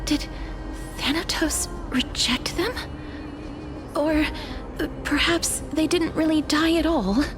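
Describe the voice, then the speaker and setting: A woman voicing a gentle, solemn young guide of souls in a video game, with soft, formal, measured speech. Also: high voice, steady tone. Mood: pensive. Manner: soft, measured, formal, breathy phrase endings. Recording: background music under the voice.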